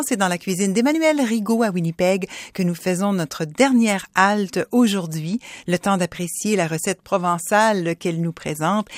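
A woman hosting a radio show, presenting in an expressive, outgoing manner. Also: mid-range voice, no room sound. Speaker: radio host.

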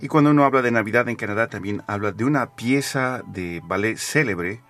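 A man hosting a radio interview from a studio, speaking in a measured, formal presenter's manner. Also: on the low side, a bit bright. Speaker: radio host.

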